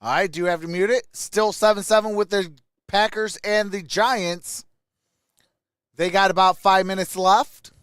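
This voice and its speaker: A man's voice: sits high, steady tone, unhurried, fairly bright tone, clear, resonant tone, no echo, clean studio sound. A man hosting a sports livestream, mixing casual play-by-play with chat in an emphatic way.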